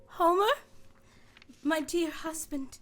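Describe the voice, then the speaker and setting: A man voicing a grieving, excitable widow in a shrill, pleading falsetto. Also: slow pace, faint music behind, heavily acted.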